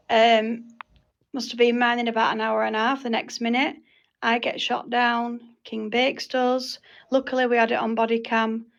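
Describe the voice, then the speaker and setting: A woman's voice: fairly high pitch, fairly bright tone, muffled recording. A woman leading an informal group meeting, explaining things in a hesitant, repetitive way. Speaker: meeting leader.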